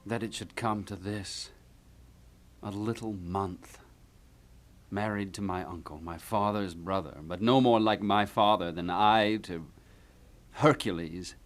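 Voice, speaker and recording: A man's voice: lowish voice, unhurried, close to an everyday voice. A man playing a grieving, bitter young prince in theatrical Shakespearean style, speaking in a mournful, declamatory way. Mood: bitter grief. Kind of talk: theatrical Shakespearean stage acting for puppet show.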